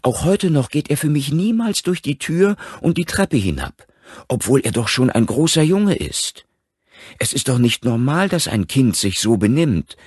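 Man voicing a worried, plaintive mother, pitched up. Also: very animated, moderate pace, darkish tone, husky, no room sound, studio quality. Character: worried mother fretting over her frightened young son.

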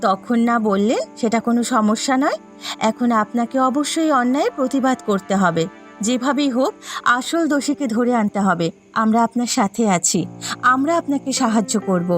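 A woman's voice: fairly high pitch, lively intonation, normal speed, soft background music, natural voice. A woman voicing a devoted, principled young sister-in-law in a cartoon, earnest and respectful. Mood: urging. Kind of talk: dramatic cartoon dialogue.

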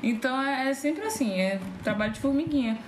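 A woman's voice: high-pitched, expressive, brisk. Informal woman, slightly echoey room. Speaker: podcast guest, voice actress. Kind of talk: casual podcast conversation. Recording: roomy echo, faint background noise.

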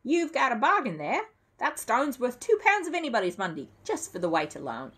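Woman acting a fussy male storybook dealer, grudging, sarcastic, animated pitch, husky tone. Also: fairly quick, exaggerated voice. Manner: sarcastic, reluctant, haughty.

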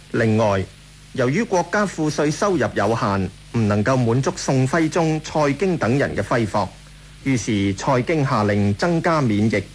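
Male narrator, Hong Kong Cantonese, formal history script, light music bed. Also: mid-range voice.

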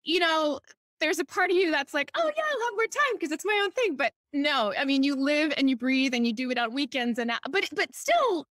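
A woman's voice: high voice, very animated, brisk, a bit bright, no echo, studio quality. A middle-aged woman from Hawaii talking enthusiastically and chattily as a guest, telling stories in a casual conversational way. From Honolulu, Hawaii. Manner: chatty and enthusiastic, laughs often. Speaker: podcast guest, entrepreneur and author.

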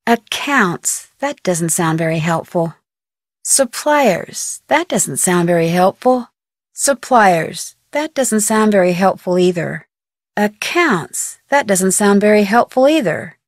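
A plucky teenage girl detective from a video game. She thinks aloud with curiosity and wry humor, in a mid-pitched voice. The recording is very dry and close. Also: animated, slow, dry recording. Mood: musing. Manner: wry, curious, self-talking. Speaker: voice actor of a video game heroine.

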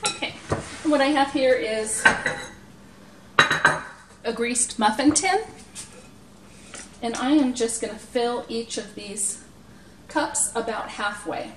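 A woman's voice: on the high side, slow, long pauses. Middle-aged woman, roomy noisy kitchen recording. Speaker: home cooking show host. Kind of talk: cooking demonstration, explaining steps. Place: small home kitchen, indoors. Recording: roomy, distant mic, noisy kitchen clatter. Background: clattering dishes, utensils and clicks.

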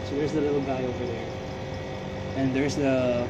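A man's voice: medium pitch, little pitch movement, moderate pace. A young man from the Middle East, vlogging in a chatty, rambling way and often correcting himself, filmed on a camera held at arm's length outdoors, in a metro station and in a cafe. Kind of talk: casual vlog narration. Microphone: selfie camera at arm's length. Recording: dull lo-fi camera audio with loud street noise.

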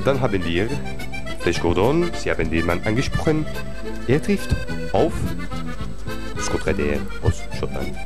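A man's voice: low-pitched, big pitch swings, unhurried, pauses often, over loud music. A man doing comedic wrestling commentary, joking around and dropping in mock-French phrases.

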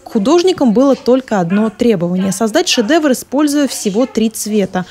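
A woman reads a scripted TV news report in a fairly high, soft-edged voice. She sounds like a reporter recording a voice-over. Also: animated, fairly quick.

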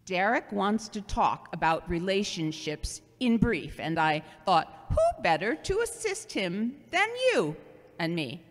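A woman playing a loving, gently scolding seventy-year-old mother in an audio drama, earnest and halting. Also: mid-range voice, very animated. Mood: gently bossy. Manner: earnest, sermonizing, halting delivery. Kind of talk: amateur audio drama acting. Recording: long hollow reverb.

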